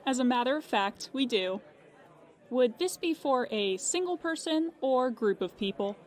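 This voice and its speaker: A woman's voice: high voice, expressive, measured pace, some chatter behind. A woman playing a polite, dry-humored call-center agent for paranormal insurance in a comic audio drama.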